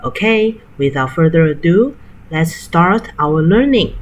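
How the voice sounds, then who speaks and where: A woman whose first language is Mandarin Chinese, teaching in a careful, clearly spaced scripted tutorial voice. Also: on the low side, animated, unhurried, slight background noise.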